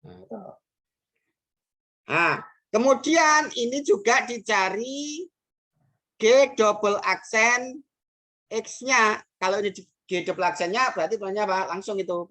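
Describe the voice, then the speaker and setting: A woman's voice: mid-range voice, sharp tone. A woman teaching math, talking through each step aloud with rhetorical questions and frequent hesitations. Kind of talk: math lesson explaining worked examples.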